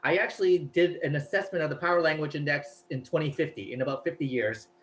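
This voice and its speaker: Male guest, native Cantonese speaker, chatty explainer with a bright, forceful tone. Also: a little high, fast talker. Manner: chatty, explains at length, filler-heavy. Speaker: guest, language researcher. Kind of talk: casual interview talk.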